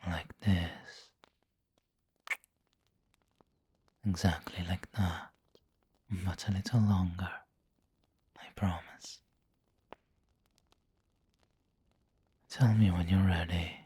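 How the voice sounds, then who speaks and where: Man playing a breathy, low-voiced doting vampire lord. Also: fairly even intonation, full character voice. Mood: seductive, teasing. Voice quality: breathy, whispery. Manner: slow, gentle, intimate coaxing. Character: refined, doting male vampire lord, seductive and protective. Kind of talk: ASMR roleplay.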